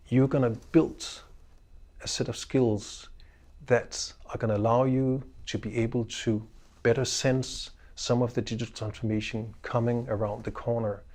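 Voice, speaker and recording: Professor, male, middle-aged, Danish accent; calm, unhurried lecture delivery, filler-heavy, average pitch. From Denmark. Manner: measured, unhurried delivery with frequent ums and uhs. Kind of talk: recorded course introduction lecture.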